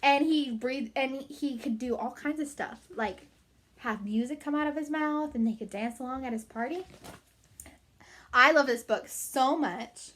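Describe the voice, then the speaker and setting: A woman reading a story aloud to children, emphatic and animated, with chatty asides. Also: high-pitched, measured pace. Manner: animated, emphatic, addressing young listeners.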